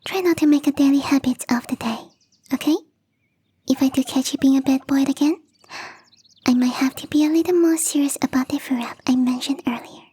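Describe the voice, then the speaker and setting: Woman acting a young catgirl gardener, very high voice, mellow and whispery, gentle, teasing, earnest, ASMR roleplay. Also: close to an everyday voice. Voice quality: soft, whispery, breathy. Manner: gently teasing, confiding, addressing the listener directly.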